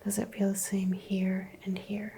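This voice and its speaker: A woman whispering gently as a doctor in an ASMR roleplay, guiding a patient through a skin exam. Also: mid-range voice, monotone, unhurried, gravelly, slight background noise. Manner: soft whispering, gentle step-by-step instructions. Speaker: ASMR creator playing a doctor.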